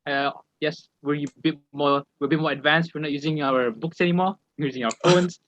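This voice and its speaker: A young man with a fairly high voice co-hosts a casual podcast, talking in an easygoing, hesitant way full of fillers. His audio sounds dull and lo-fi, with a dry, close quality. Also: fairly even intonation, fairly quick, fairly bright tone, dry recording. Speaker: podcast co-host.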